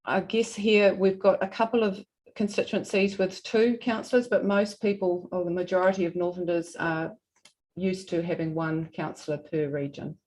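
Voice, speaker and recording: A woman with a New Zealand accent and a fairly low voice presents at a formal hearing. She feels her way through the points, often stopping to rephrase. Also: steady tone, darkish tone, low-quality recording. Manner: halting, hesitant delivery with frequent self-corrections. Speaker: regional council representative presenting.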